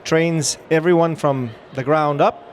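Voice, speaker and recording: A man's voice: a little high, fairly even intonation, a little slow, slight background noise. A middle-aged man from the Netherlands with a Dutch accent, giving a chatty, upbeat talk to a live audience on a trade-show floor, with many fillers and restarts.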